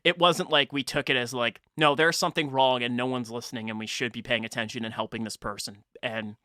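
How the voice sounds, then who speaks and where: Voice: medium pitch, steady tone, a little fast, bright, forceful tone, no echo, clean studio sound. A podcast host in casual conversation, thinking aloud in informal, half-finished thoughts. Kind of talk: casual chat.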